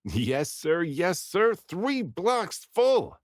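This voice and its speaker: A man voicing a storybook castaway, excitable and self-doubting, who exclaims and mutters dramatically to himself. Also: sits high, drawn-out words, no echo, clean studio sound, voice pushed up, heavily acted. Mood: elated. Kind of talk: storybook character dialogue.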